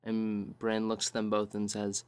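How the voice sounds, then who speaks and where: A man playing a tabletop role-playing game, describing his character's actions in a measured, thoughtful way. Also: lowish voice, completely flat delivery, smooth. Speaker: tabletop role-play player.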